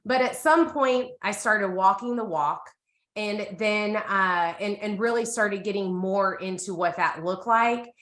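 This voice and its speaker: Female co-host, 30s, American accent, bright voice, candid conversational testimony, echoey room. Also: mid-range voice, a little slow. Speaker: co-host of a women's Bible reading group. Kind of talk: candid, casual personal testimony.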